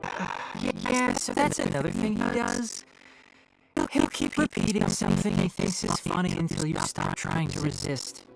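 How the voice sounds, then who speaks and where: Casting: clever wry game scholar, very animated intonation with creaky edges, music behind. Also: male voice, on the high side, moderate pace, full character voice, poor audio. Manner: analytical, wry. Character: clever, dry young male forest scholar. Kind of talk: anime-style video game voice acting.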